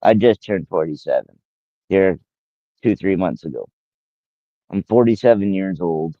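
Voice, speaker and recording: A man's voice: sits low, lively intonation, a little slow, no echo, muffled recording. A man in his forties rambling casually on a livestream, repeating himself and talking straight to one viewer. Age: 40s.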